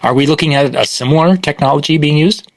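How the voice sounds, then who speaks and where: A man's voice: a little high, quick pace, dry recording. A man interviewing a guest at a trade show, asking leading questions and adding short affirmations.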